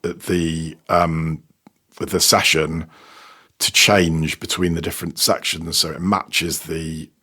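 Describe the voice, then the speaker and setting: A man's voice: a bass voice, little pitch movement, slow, pushed and bright, slightly rough. A man being interviewed as a sound designer, talking casually about his work and often repeating words as he searches for phrasing. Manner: hesitant, repeats words while explaining. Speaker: guest, sound designer and technical producer. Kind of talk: casual interview talk about audio production.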